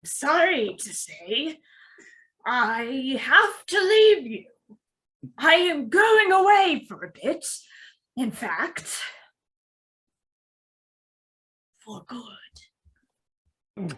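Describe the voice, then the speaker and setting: Slow, high-voiced old hobbit speechmaker, played by a woman. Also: sing-song, pushed and bright, full character voice. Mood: hesitant. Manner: halting, theatrical speechmaking. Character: old male hobbit giving a birthday speech. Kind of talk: table read acting.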